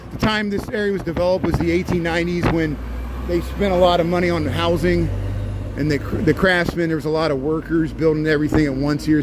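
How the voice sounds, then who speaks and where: A man in his forties with a slight Cincinnati-Kentucky twang narrates a casual city walk. He talks in a chatty, rambling way and hams it up for his viewers. His voice sits a bit high for a man, over faint street traffic. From near Cincinnati, US Midwest. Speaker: walking-tour vlogger. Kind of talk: casual walking-tour commentary. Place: city street outdoors at night. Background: faint street and traffic noise.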